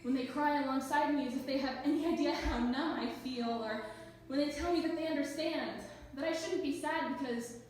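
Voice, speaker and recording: Female slam poet, high-pitched, recorded from afar with heavy room echo. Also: fairly quick, a little mellow, a little hiss or hum. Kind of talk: spoken-word poetry performance. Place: echoey indoor event space, live performance. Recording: very echoey room, distant mic.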